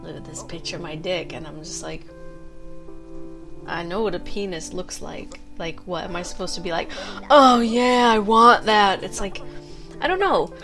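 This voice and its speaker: A woman's voice: on the low side, moderate pace, music in the background. A young American woman chatting frankly and jokily while co-hosting a game stream. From United States. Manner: frank, giggly, blunt jokes. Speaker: co-host streamer. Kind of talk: casual game stream chat.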